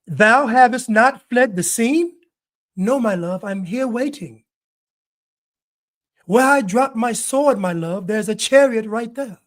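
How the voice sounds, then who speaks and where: A man's voice: extremely high pitch, takes it slowly, fairly bright tone, exaggerated voice. A man voicing an overwrought, old-fashioned lover in a mocking Shakespearean parody. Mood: theatrical. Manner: mock-Shakespearean, pleading, overwrought. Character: swooning melodramatic old-fashioned lover, adult.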